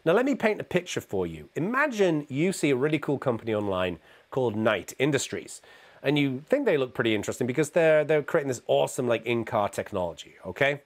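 A middle-aged man giving a conversational conference keynote from his home office, his voice gravelly and hoarse from a cold. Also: mid-range voice, brisk. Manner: energetic, conversational, asks "okay?" often. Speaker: keynote speaker. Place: home office with neon sign.

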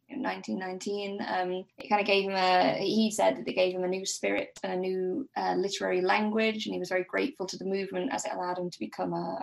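A woman's voice: medium pitch, gravelly, poor audio. A woman speaking as a podcast guest, explaining things informally and tentatively, piecing facts together aloud.

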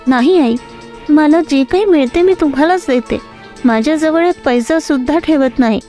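A woman's voice: normal speed, warm tone, faint music behind, natural voice. A woman voicing a young pregnant rickshaw driver who is meek and pleading, in a cartoon story. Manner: pleading, high-pitched.

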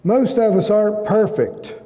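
A man in his sixties preaching a sermon as a pastor, with measured, emphatic phrasing, pauses and repetition. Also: high voice, expressive, mellow. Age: 60s. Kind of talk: sermon with personal anecdotes. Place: large church sanctuary, indoors at a pulpit. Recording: reverberant church hall.

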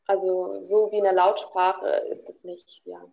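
Gravelly, dark-voiced woman on a video call. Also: fairly low pitch, little pitch movement, dull audio. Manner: thoughtful, many pauses and fillers. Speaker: interviewee. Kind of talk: reflective interview answers.

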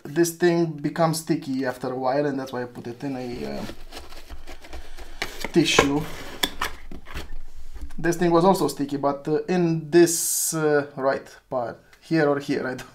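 A chatty man talks through a hands-on gadget review, thinking aloud and chuckling at his own slip-ups. His voice sits a little higher than most men's. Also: a little slow, pauses often, slight background noise. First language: Romanian. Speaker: retro phone reviewer.

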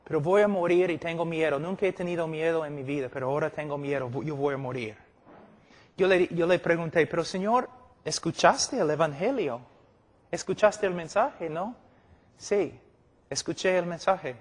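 A man's voice: fairly high pitch, normal speed. A middle-aged man preaching a sermon at a conference, emphatic and repeating phrases for stress, telling illustrative stories. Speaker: preacher teaching at a church conference. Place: large indoor meeting hall, speaking to a seated audience. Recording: echoey hall with long reverb.

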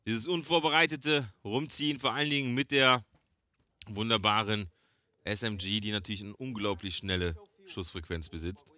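A man's voice: lowish voice, moderate pace, pushed and bright, dry recording. A man casting a game alone as play-by-play commentator, chatty and addressing viewers as friends. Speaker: esports caster. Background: game gunfire and clicks.

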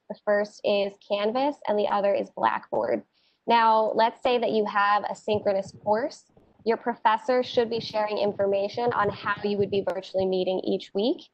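A woman moderating a virtual town hall from a home office, reading out student questions and explaining steadily. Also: mid-range voice. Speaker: dean and moderator. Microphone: video call on a laptop. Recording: dull, muffled video call.

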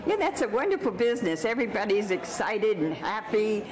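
A woman's voice: medium pitch, sing-song, brisk, a crowd in the background. A woman hosting a TV show, interviewing guests at an event in an enthusiastic, chatty way. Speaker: TV host, interviewer. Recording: noisy outdoor and party ambience.

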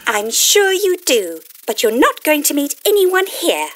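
A woman's voice: high voice, sing-song, measured pace, fairly bright tone, exaggerated voice. A woman playing a tiny, eager and persistent fairy AI companion in an audio play, perky and coaxing.

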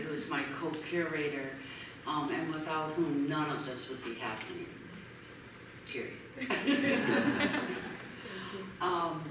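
A middle-aged woman curator introducing an artist at a gallery, with many fillers as she reads a bio aloud. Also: low-pitched. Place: large gallery hall, indoors. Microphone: handheld mic heard from far off. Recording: echoey hall recorded from far off, background noise.

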